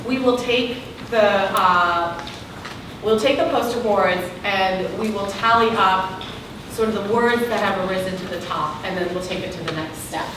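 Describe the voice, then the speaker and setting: A woman with a loud voice, patiently explaining the steps of a public meeting activity. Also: medium pitch, moderate pace, background noise. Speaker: meeting facilitator. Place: large meeting room. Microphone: far room recording. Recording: echoey room from far off.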